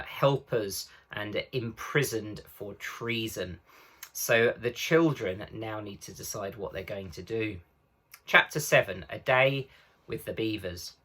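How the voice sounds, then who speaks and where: A man's voice: lowish voice, pushed and bright. A man narrating a children's storybook aloud as a teacher would.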